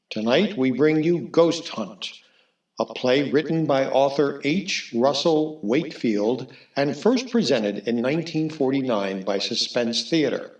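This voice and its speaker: A man as a radio drama announcer, reading a scripted introduction in a formal, deliberate, old-time theatrical manner. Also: mid-range voice, a little mellow. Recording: echoing room, faint music behind.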